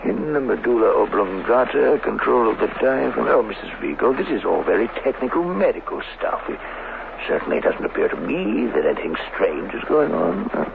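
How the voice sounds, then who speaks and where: An actor in an old radio drama playing a flustered, placating district chief who blusters and hesitates, on a hissy old broadcast. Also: male voice, mid-range voice, very animated, a little fast, vocal fry, narrow, tinny audio. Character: flustered male colony district chief, placating and evasive official.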